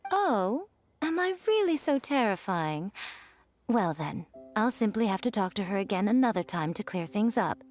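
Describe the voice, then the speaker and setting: A woman's voice: mid-range voice, sing-song, moderate pace, a little mellow, natural voice. A woman voicing an elegant, sly priestess and editor in a video game, with a poised, teasing, theatrical manner. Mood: coy, composed.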